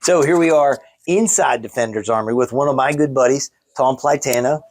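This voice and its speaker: A man's voice: medium pitch, brisk, a little mellow, dry recording. Male host, American, mid-range voice, loose rambling interview style, little room sound. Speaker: state legislator and show host. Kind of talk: casual on-location interview.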